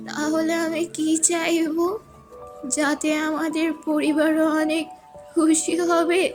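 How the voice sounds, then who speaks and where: Woman voicing a high-pitched, clever old village grandmother. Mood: earnest, worried. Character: poor, clever, determined old village mother-in-law. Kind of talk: children's fairy-tale cartoon dubbing. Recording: loud background music.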